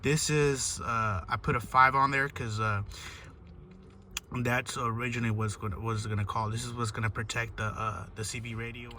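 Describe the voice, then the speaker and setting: A man giving a casual, halting hands-on tutorial to viewers, thinking aloud as he works. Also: medium pitch, steady tone, sharp tone. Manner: informal, halting, thinking aloud while working. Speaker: host of a DIY car installation video. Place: in or beside a car. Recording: clear background noise, vehicle ambience.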